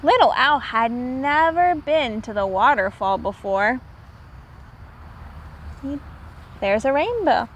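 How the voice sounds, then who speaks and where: Slow, animated woman reading to children. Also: high-pitched, dull audio, slight background noise. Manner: explanatory, repeats and defines words simply. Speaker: teacher reading aloud to young children. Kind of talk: children's read-aloud with simple explanations.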